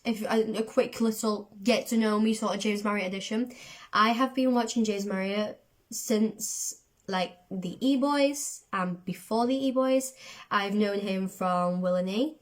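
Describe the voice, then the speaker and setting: A woman's voice: medium pitch, measured pace. Chatty woman with a cold. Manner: excitable, self-described overdramatic. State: voice slightly going from a cold. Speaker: host of a music reaction channel. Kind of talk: casual chatty video reaction.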